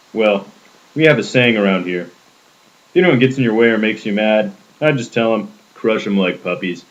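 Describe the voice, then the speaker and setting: A young man putting on a creepy, sadistic dog breeder with a slow sinister drawl. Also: lowish voice, highs cut off, lightly acted.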